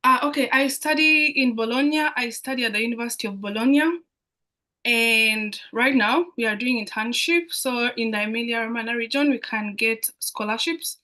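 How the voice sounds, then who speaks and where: A young woman from Uganda joins a live online Q&A over a video call. Her voice sits somewhat high, and she talks in a halting way with many fillers and restarts. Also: bright, forceful tone. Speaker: guest student.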